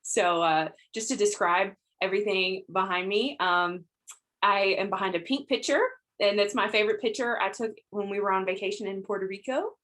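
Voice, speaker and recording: A woman's voice: medium pitch, moderate pace, fairly bright tone. A woman from the United States introducing herself to a round table in an informal, chatty way. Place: indoors on a group video call.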